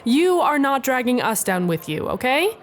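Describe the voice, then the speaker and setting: High-voiced, exasperated young skeptic. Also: female voice, big pitch swings, moderate pace, slight background noise. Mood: exasperated, firm. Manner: blunt, scolding. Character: sensible young woman, skeptical, exasperated nerd friend. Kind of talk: scripted audio drama acting.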